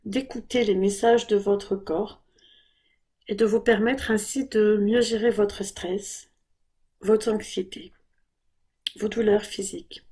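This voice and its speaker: Female meditation guide, mellow and breathy, very measured pace, calm and gentle instruction. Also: mid-range voice. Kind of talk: guided body scan meditation.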